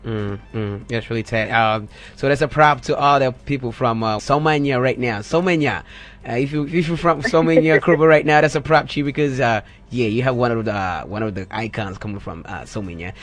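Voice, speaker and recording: A man's voice: medium pitch, fairly quick. A man hosting a casual radio interview, rambling and repeating his questions in slangy talk. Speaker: radio show host.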